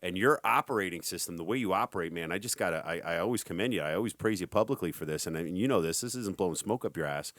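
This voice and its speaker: Middle-aged male podcast host, very deep voice, energetic motivational delivery, dry sound. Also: fast talker, few pauses, no room sound. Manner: energetic, hype-style motivational. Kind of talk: casual podcast talk.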